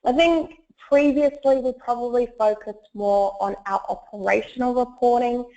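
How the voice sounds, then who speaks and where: A woman with a croaky voice from a cold, giving an online presentation with frequent fillers. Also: on the high side, normal speed, dark tone. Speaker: webinar presenter, solutions consultant. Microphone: web conference audio. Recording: muffled lo-fi web call audio.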